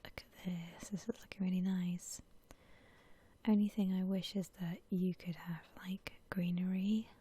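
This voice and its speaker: A woman whispering softly in a breathy, gentle, unhurried way, musing aloud as she gives ASMR commentary on a game. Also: lowish voice, fairly even intonation. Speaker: host of a gaming ASMR channel.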